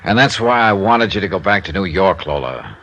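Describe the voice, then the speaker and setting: An actor playing a tough but sympathetic insurance investigator in a 1950s radio detective drama, with an American accent and a clipped, wry delivery. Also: male voice, deep voice, sing-song, a little fast, a bit bright, highs cut off, full character voice. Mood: weary, sympathetic. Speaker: lead actor and narrator. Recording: hissy vintage radio recording.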